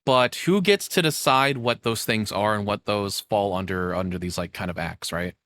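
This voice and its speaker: A man's voice: on the low side, moderate pace, a bit bright, dry recording. Casual, sarcastic man with dry close sound. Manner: sarcastic, cynical, swearing freely. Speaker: streamer reacting to a video. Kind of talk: casual rambling commentary.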